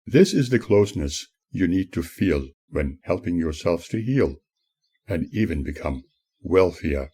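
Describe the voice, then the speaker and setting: A man narrating his own spiritual audiobook as its author, in an earnest, preachy manner with measured phrasing and a husky voice. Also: low voice, expressive, dark tone. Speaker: author reading own book.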